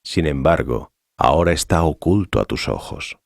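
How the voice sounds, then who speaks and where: Guy acting as a deep solemn holy teacher in a bible audio drama, slow-weighted authority, long echoing reverb. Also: expressive, gravelly, heavily acted. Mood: sorrowful warning. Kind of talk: dramatized scripture reading. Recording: long reverberant hall echo.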